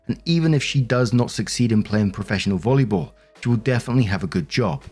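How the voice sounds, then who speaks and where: A man's voice: fairly low pitch, a little mellow. A man narrating online stories aloud as a host, with informal, conversational commentary and casual swearing.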